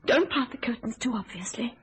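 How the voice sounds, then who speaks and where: An actress playing a frightened, hysterical wife in a radio thriller, fraught and pleading. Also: female voice, on the high side, animated, a little fast, noisy background, lightly acted. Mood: anxious.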